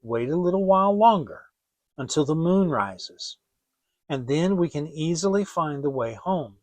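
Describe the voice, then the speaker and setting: A man voicing a gentle young boy, a protective big brother in a storybook, soothing and reassuring. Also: on the high side, expressive, unhurried, no echo.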